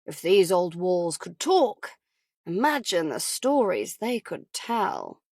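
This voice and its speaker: A woman's voice: lowish voice, huge pitch swings, slow pace, a little mellow, no echo, studio quality, heavily acted. A woman voicing a wry, theatrical male property show presenter in an audiobook. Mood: calm. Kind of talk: audiobook character voice.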